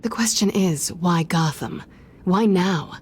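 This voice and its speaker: A woman's voice: on the low side, takes it slowly, darkish tone, slight background noise. An actress playing a tough, streetwise female thief in a video game, wry, cool and guarded. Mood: sardonic, wary.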